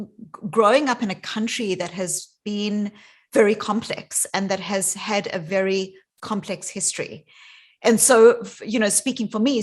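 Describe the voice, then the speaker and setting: South African woman, interview guest, thoughtful and earnest, unhurried reflection. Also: mid-range voice. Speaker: guest psychologist. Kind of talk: interview conversation.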